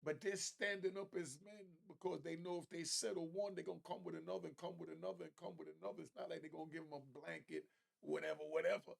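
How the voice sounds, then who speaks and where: A man's voice: a little high, steady tone, fast talker, vocal fry. A man giving casual, opinionated commentary in an emphatic, repetitive way, with street slang. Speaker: commentator reacting to a news clip.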